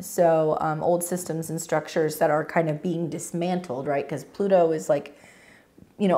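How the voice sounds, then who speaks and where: A woman hosting a weekly astrology forecast in a casual, rambling way, her voice hoarse from a cold. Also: lowish voice, a little mellow. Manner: rambling, lots of fillers and restarts. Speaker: astrology channel host.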